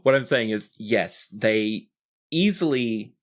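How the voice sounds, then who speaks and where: A man's voice: lowish voice, lively intonation, slow pace. A man narrating a tabletop roleplaying game as game master, with music playing behind him. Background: background music.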